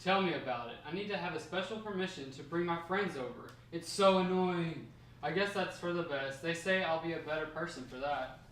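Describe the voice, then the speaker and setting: A man's voice: on the high side, a little fast, fairly bright tone, lightly acted. A man in a table read playing a young, candid, bored grandson. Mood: mildly annoyed. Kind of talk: amateur screenplay table read. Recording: echoey room, distant mic, faint noise.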